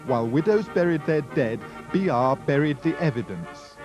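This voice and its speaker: Higher male trailer announcer over music. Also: animated, normal speed. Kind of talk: dramatic scripted programme trailer narration. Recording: dull sound with music behind.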